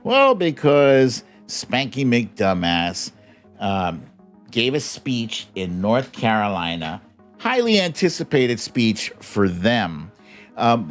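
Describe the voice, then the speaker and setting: An American man hosting a livestream with casual, humorous political commentary in a mocking, conversational way. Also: on the low side.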